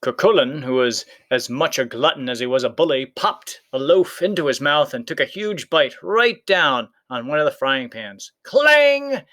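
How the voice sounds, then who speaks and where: A man's voice: mid-range voice, animated, normal speed, hardly any pauses, fairly bright tone. A man reading a children's folktale aloud as scripted storybook narration. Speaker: story reader.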